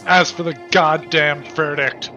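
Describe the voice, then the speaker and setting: A man voicing a tough young biker gang leader who gives up, gruff and resigned. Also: fairly high pitch, fairly even intonation, a little slow, muffled recording, over music, lightly acted.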